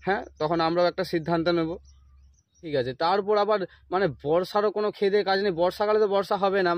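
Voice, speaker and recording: A man's voice: sits high, no room sound, muffled recording. A man delivering a comic monologue, scolding and complaining in exasperation with rhetorical questions. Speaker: comedy video creator. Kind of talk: comic ranting monologue addressed to the sun and seasons.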